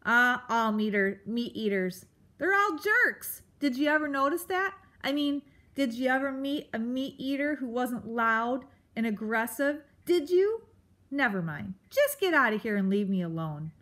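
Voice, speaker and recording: A woman reading aloud and doing a crotchety old porcupine who bellows insults at everyone, loud and scornful. The voice sits fairly high yet pushes hard, the words coming slowly and deliberately. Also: sing-song. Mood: cranky, bellowing. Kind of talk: storybook read-aloud.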